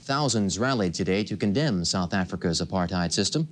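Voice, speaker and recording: A man's voice: sits low, lively intonation, fairly quick, loud music behind the voice. A man anchoring a local TV newscast in a broadcast delivery. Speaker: TV news anchor.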